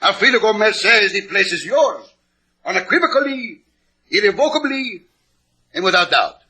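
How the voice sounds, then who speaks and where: Husky sharp voice, excitable evasive hotelier, flowery, theatrical, old radio drama. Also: male voice, sits high, normal speed, full character voice, poor audio. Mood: effusive. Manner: flowery, theatrical, speaks of himself by name. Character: florid, excitable, evasive Cuban hotel keeper. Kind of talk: 1950s radio drama acting.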